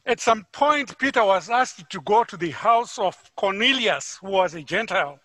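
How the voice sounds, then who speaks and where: A man from Zimbabwe with a Zimbabwean accent, preaching a sermon as a guest in a church, with measured, deliberate delivery that rises for emphasis. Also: sits high, expressive, sharp tone, no room sound.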